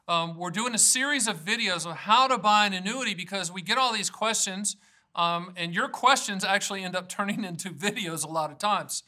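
Male, American, informal video presenter: high, very forceful voice, emphatic and down-to-earth delivery. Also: brisk. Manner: emphatic, folksy, plain-spoken. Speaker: annuity agent hosting an educational video series. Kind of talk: informal explainer presentation to camera.

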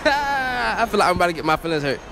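A man's voice: high-pitched, very animated, fast, gravelly, noisy background. A teenage man hosting a joking street interview in a shopping mall with a handheld mic, teasing and boastful. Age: teens. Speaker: host.

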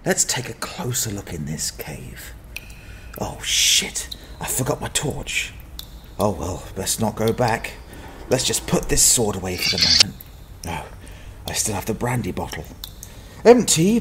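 Guy doing young down on his luck noble adventurer, sardonic n self-deprecating, lowish lively voice, audiobook drama. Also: a little hiss or hum. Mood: muttering, hesitant. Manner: wry, sweary, dramatic. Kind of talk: first-person audio drama narration.

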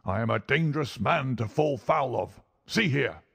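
A furious old villain from a storybook. He snarls threats and insults like a huge, menacing bully, pitched well above the narrator's usual voice. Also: lively intonation, normal speed, vocal fry, fairly bright tone, husky, no room sound, full character voice. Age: older.

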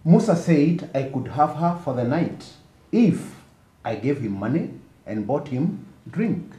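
An actor playing a defensive middle-aged accused man testifying in a TV courtroom drama, halting and deliberate, with a Kenyan accent. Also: medium pitch, big pitch swings, dark tone. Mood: defensive, earnest. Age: 50s. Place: indoor courtroom set. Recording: echoey room, distant mic, faint noise.

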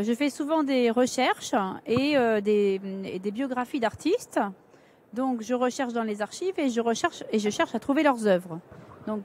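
A woman's voice: high voice, a little mellow, slight background noise. A woman in her thirties, a museum curator, explaining her views on a conference panel into a handheld mic, with some hesitant fillers. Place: large conference stage indoors.

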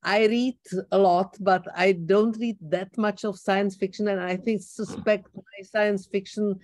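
A woman's voice: medium pitch, steady tone, measured pace, darkish tone, dry recording. An older woman, a retired doctor who grew up Czech, chatting wryly on a video call from her garden with a Czech accent. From Czech Republic. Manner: chatty, wry, with frequent restarts. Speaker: meeting participant. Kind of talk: casual video call introduction. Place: outdoor garden. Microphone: laptop on a video call.

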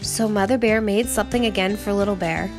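A woman reading a storybook aloud to children as an expressive narrator. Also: mid-range voice, fairly quick, over music.

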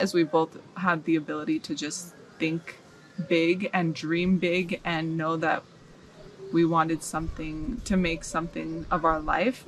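A woman's voice: sits low, a bit bright, slight background noise, poor audio. A young woman from the United States, telling her story reflectively as an interview guest outdoors. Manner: reflective, searching for words. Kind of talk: casual interview chat. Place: outdoors in a rural yard. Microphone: camera mic, seated mid shot.

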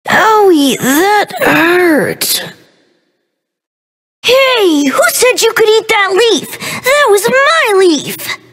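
An extremely high, childlike baby dinosaur with wildly swooping intonation. The creature is innocent, bubbly and playful, an exaggerated video game character. It takes its words very slowly, with little gaps and stretches. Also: female voice, big pitch swings, a bit bright, long reverb tail, exaggerated voice. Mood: upset, defensive.

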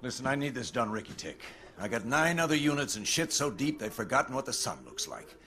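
An actor playing a hard-nosed middle-aged commander giving a terse, emphatic briefing in a war game. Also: male voice, medium pitch, big pitch swings, a little fast, loud music behind the voice. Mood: stern, persuasive. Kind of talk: military briefing in game cutscene. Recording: clear noise behind the voice.

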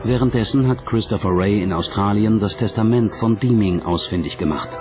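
A man's voice: lowish voice, brisk. A man narrating a documentary from a script. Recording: music under the voice. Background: background music.

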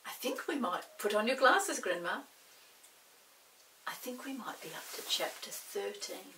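A woman reading a children's story in an expressive, measured way. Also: mid-range voice, vocal fry, distant mic, a little hiss or hum, rough recording. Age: 60s. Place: small indoor set with dark backdrop.

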